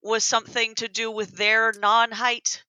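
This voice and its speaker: Bright-voiced woman guest, halting and self-mocking, dry sound. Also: on the high side, flat delivery, smooth, no echo, poor audio. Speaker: podcast guest. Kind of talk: casual chat.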